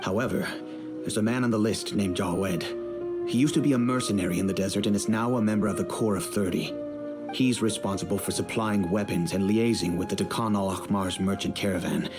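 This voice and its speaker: Man acting as remorseful middle-aged merchant, low pitch, formal, earnest, video game dialogue, music underneath. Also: brisk, close to an everyday voice. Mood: resigned, helpful.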